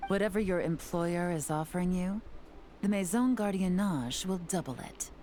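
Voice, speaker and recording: Cool, low-voiced commanding woman investigator played by an actress. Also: moderate pace, warm tone, slight background noise, natural voice. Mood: cool, pressing. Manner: composed, calculating, dryly teasing. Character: cool, shrewd, commanding adult female investigator. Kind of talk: scripted video game voice acting.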